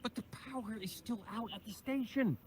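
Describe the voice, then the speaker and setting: A man's voice: high voice, normal speed, darkish tone, a little hiss or hum, lightly acted. A man playing an exasperated husband who argues with the man who has taken him along. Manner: exasperated, arguing. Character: exasperated husband trying to reach his wife. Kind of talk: comedic sketch acting.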